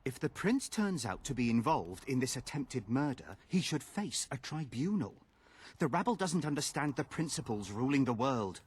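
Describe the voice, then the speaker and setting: Pompous, husky, animated nobleman. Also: mid-range voice, moderate pace, dry recording. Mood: disdainful. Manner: pompous, lecturing. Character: haughty, self-righteous nobleman. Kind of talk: video game dialogue acting.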